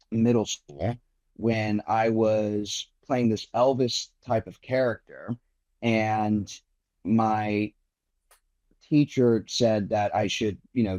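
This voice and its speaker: A man's voice: mid-range voice, darkish tone, muffled recording. A young man from Miami, an actor giving earnest interview answers with many fillers and restarts. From Miami, United States.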